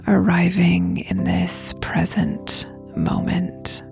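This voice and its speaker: A woman guiding a prayer meditation in a soft, breathy near-whisper, with gentle, unhurried, spacious phrasing. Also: fairly low pitch, little pitch movement, soft background music. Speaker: guided meditation leader, host. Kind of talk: guided prayer meditation, slow invitations.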